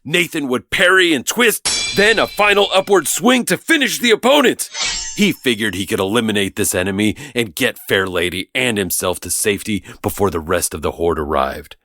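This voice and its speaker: A man's voice: lowish voice, big pitch swings, moderate pace, bright, forceful tone, slight background noise. A man narrating a scripted story in a dramatic storytelling style.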